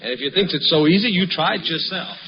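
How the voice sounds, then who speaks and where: A man, a musician, trading quick banter on a 1940s radio show. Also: fairly high pitch, heavy background noise. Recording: muffled 1940s radio with loud audience.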